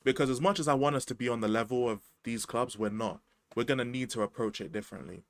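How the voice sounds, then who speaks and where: A man's voice: medium pitch, fast talker. A man, chatting casually about football as a podcast host, slangy and rambling. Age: 20s.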